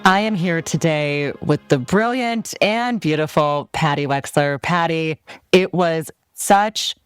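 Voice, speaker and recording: A woman hosting a podcast interview who heaps praise on her guest and asks slow, emphatic questions. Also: deep voice, clear, resonant tone, dry recording, soft background music. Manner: gushing, warm compliments, slow emphatic questions. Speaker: podcast host.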